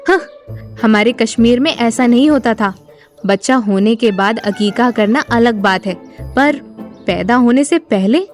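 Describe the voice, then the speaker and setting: A woman playing a caring, worried, traditional wife who gently scolds in an audio drama. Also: a little high, measured pace, soft background music, natural voice. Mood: fretful scolding.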